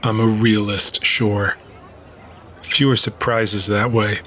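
An actor playing a cynical, stern police officer in an audio drama, dry and skeptical. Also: male voice, on the low side, flat delivery, slow pace, bright, forceful tone. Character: cynical, stern male police officer, self-styled realist. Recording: crowd noise behind. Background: crowd.

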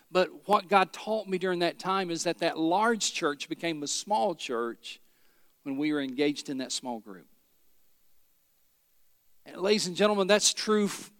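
A middle-aged pastor from Tennessee, preaching a sermon with folksy stories and rhetorical questions. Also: male voice, high-pitched, animated, moderate pace, fairly bright tone, no echo, clean studio sound, big-room sound. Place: church sanctuary.

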